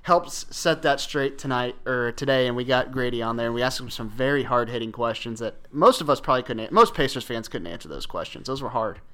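A man's voice: mid-range voice, a little fast, hardly any pauses, sharp tone. An American man hosting a casual sports podcast and introducing topics and guests in a conversational way. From Indianapolis, United States. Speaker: podcast host.

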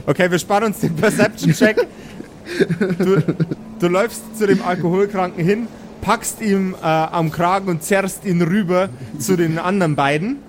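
A man improvising narration as a tabletop game master, halting and repeating his words. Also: sits high, steady tone. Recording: clearly noisy background ambience.

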